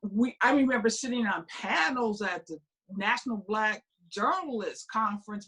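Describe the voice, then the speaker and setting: A woman speaks on a panel discussion with an emphatic, animated delivery. She tells her story with energy, leaning on each point. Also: mid-range voice, breathy edge, rough recording. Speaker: film crew veteran panelist.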